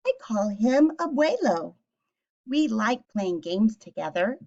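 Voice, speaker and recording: A woman teacher reading a picture book aloud and speaking to young children with simple questions and clear explanations. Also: a little high, very animated, slow, a little mellow, dull audio.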